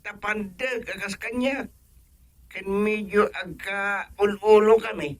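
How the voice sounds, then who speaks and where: A man's voice: sits high, steady tone, slow pace. An actor playing a plain-spoken village father-in-law in a radio comedy drama. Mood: matter-of-fact.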